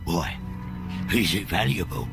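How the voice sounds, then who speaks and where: A man playing a shifty petty thief in a video game, grumbling and evasive as he whines, with loud music over him. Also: sits low, lively intonation, unhurried, creaky, heavily acted. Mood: defensive, suspicious. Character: shifty, whining petty male thief, cornered.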